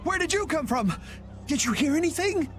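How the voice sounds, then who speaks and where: Game bit part: exasperated, jittery townsman, extremely high and excitable. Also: a little slow, slight background noise. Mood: agitated. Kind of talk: animated game voice acting.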